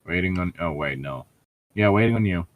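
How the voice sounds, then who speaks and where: A man's voice: deep voice, animated, fairly quick. A man reading a story aloud as a co-host, breaking off for sarcastic, irritable, profane commentary and casual banter. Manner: sardonic, irritable, profane heckling.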